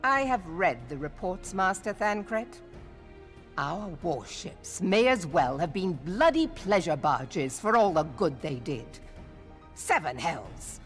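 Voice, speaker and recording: Game role: stern seafaring female admiral, deep register, very animated delivery, salty archaic speech. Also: takes it slowly, faint music behind. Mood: angry, resolute. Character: commanding, blunt female navy admiral of a fantasy realm. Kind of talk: scripted video game voice acting.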